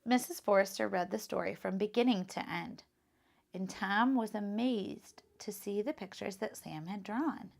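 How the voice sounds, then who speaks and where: A woman with a medium-pitched voice and noticeable vocal fry. She reads like a teacher sharing a picture book with children. Her delivery is expressive and instructive. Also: measured pace. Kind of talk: expressive children's read-aloud with casual teaching asides.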